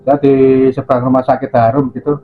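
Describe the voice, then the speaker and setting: A man's voice: mid-range voice, level tone, brisk. A man chatting casually and a little hesitantly as an amateur radio operator on a two-way radio. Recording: narrow phone-like sound, faint hum.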